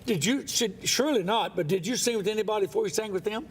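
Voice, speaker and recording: A man hosting a TV talk show, animated and quick to laugh. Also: high-pitched, breathy edge. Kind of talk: casual TV talk show chat.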